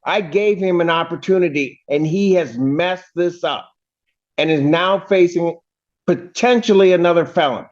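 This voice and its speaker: A woman's voice: fairly low pitch, moderate pace, a little mellow. An angry woman judge speaking sternly from the bench, scolding bluntly and emphatically. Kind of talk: stern courtroom address. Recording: dull, lo-fi courtroom audio.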